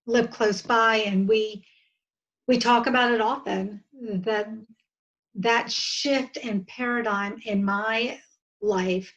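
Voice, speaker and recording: A woman of about fifty with an American accent, talking earnestly and haltingly as a guest in an interview, often restarting her sentences. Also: a little high, fairly bright tone, low-quality recording. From southern United States. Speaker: podcast guest. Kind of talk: reflective interview conversation.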